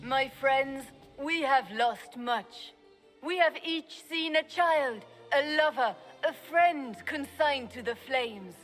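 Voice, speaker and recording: An actress voicing a regal empress giving a measured, solemn speech to her court in a video game. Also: high-pitched, fairly bright tone, long reverb tail, faint music behind.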